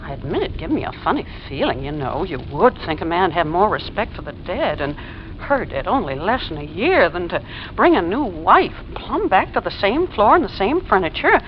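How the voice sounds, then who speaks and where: A woman's voice: fairly low pitch, sing-song, moderate pace. On muffled, lightly noisy vintage radio audio, a nosy American landlady. She is chatty, plain-spoken and skeptical, her mid-range female voice rising and dipping expressively in homespun speech. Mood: gossipy. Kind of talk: 1940s radio drama acting. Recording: dull, noisy old radio recording.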